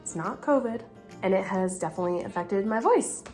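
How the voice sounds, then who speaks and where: A young woman vlogging casually from home, her voice raspy and hoarse from being sick, chatty and upbeat. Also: mid-range voice, animated, fairly quick, a little mellow, soft background music. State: hoarse from illness. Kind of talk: casual recipe vlog. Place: living room at home, indoors.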